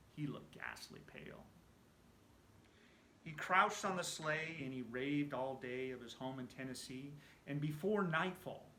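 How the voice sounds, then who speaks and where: Bright-voiced man reciting dramatically in echoey room. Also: medium pitch, slight background noise. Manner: animated, theatrical storytelling with hushed phrase endings. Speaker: amateur poetry reciter. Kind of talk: dramatic recitation of a narrative poem.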